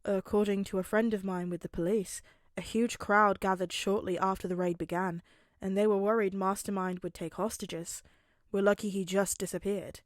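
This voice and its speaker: Woman doing a quiet mild dad, measured and calm, audiobook read. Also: medium pitch, no room sound, studio quality, close to an everyday voice. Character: quiet, mild-mannered, calm middle-aged father. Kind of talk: audiobook character acting.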